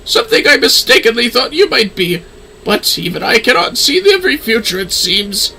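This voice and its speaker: A man's voice: extremely high pitch, very animated, moderate pace, creaky, bright, forceful tone, slight background noise, pitched above the performer's own voice, exaggerated voice. A man voicing a theatrical, grand older professor of astrology from a game, speaking in a lofty, formal way. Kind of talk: game dialogue read-aloud.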